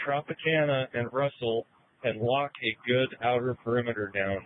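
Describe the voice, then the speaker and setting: A man's voice: mid-range voice, moderate pace, slight background noise. A male police officer giving orders over a police radio. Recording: phone-like police scanner radio.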